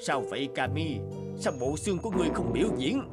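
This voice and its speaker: A man's voice: fairly high pitch, very animated, fast. A stern imperious cartoon king. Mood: suspicious. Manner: commanding, imperious. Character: stern proud old male king. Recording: loud music behind.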